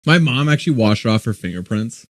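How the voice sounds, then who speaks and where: Young male co-host, relaxed, lively intonation, dry booth sound, swears casually. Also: medium pitch, a little fast, no room sound. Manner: laid-back, laughs often, swears casually. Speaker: podcast co-host. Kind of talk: casual livestream chat.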